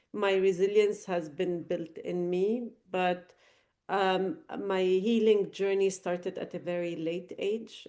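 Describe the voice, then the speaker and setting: A woman's voice: lowish voice, a little mellow. A middle-aged woman from Lebanon, a podcast guest giving thoughtful, earnest answers with frequent fillers and restarts. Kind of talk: reflective interview answers.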